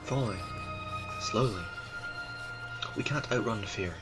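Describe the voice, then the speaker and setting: Male narrator, low voice, slow ominous storytelling, music under. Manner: ominous, deliberate, sometimes dropping to a whisper. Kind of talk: scripted audio drama narration. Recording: music playing behind.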